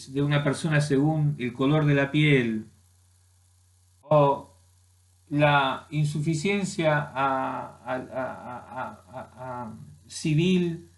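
Halting Argentine man ranting to webcam. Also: on the high side. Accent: Argentine Spanish. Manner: halting, many restarts and fillers, argumentative. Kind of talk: opinion monologue to camera. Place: small room indoors at home.

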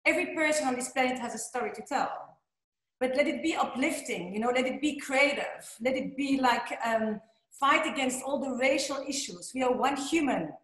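A Belgian woman in her forties talks in an animated, gesturing way, peppering her speech with "you know". Her voice sits a little high and carries the echo of a roomy office. She is heard through a laptop mic on a video call. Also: moderate pace. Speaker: interview guest. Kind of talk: casual video-call interview. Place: bright office indoors. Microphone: laptop webcam mic on video call.

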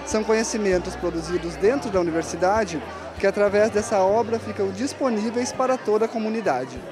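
A man's voice: high voice, a little fast, crowd noise. A young man delivering a scripted television news report. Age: young adult.

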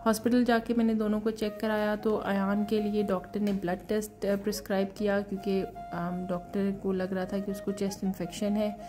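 A woman in her thirties calmly narrating her busy day as a mother, talking unhurriedly with frequent pauses and fillers and mixing in English words. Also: mid-range voice, over music. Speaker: vlogger, mother.

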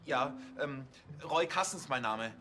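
A jittery fake notary. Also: male voice, mid-range voice, lively intonation, pushed and bright, slight background noise. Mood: nervous. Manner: hesitant, halting with fillers. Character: nervous male police officer posing as notary. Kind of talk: TV crime comedy dialogue.

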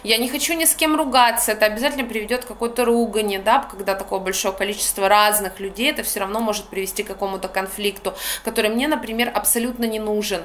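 A woman's voice: medium pitch, fairly even intonation, fast, hardly any pauses, fairly bright tone, slight background noise. A young woman with a Ukrainian accent, venting in a blunt, slangy, exasperated way while filming herself on a phone in a small room. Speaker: vlogger. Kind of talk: casual ranting monologue. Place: small room by a curtained window, indoors. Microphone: phone camera at arm's length.

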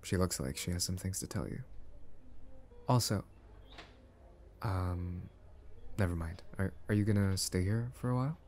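A man acts out a young brother in a hospital audio roleplay. His voice is low, and he talks with gentle, halting politeness, kind but reserved. Faint ambience sits behind him. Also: slight background noise, lightly acted. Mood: polite, guarded. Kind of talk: ASMR roleplay acting, conversational.

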